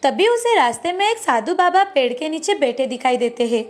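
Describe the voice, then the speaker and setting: A woman's voice: high-pitched, big pitch swings, brisk, soft background music. A woman narrator reading a scripted moral bedtime story.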